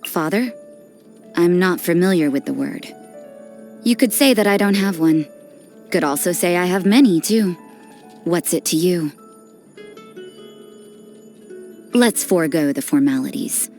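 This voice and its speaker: An actress voicing an aloof, cynical young nun in a video game, speaking in a cool, dry, detached and unhurried manner. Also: female voice, fairly low pitch, animated, darkish tone. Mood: cool, blunt. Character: aloof, cynical, worldly nun who does dirty work. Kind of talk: video game character voice lines. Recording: background music under the voice.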